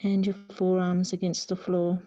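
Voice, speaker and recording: A woman teaching a yoga class, giving gentle, unhurried guidance with pauses between phrases. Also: lowish voice, monotone. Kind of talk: guided yoga class instruction. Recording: slightly dull, lo-fi sound.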